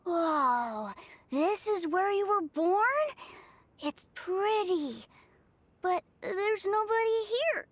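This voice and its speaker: Slow, high-voiced frail little child. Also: female voice, animated, no room sound, full character voice. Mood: wondering. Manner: innocent, trusting, childlike. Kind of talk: English game voice acting.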